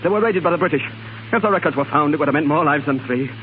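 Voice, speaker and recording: An actor playing a principled, resolute young Irish rebel leader in a 1930s radio drama. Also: male voice, fairly high pitch, racing, phone-quality sound, close to an everyday voice. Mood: grave, gentle. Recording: thin 1930s radio broadcast, faint noise.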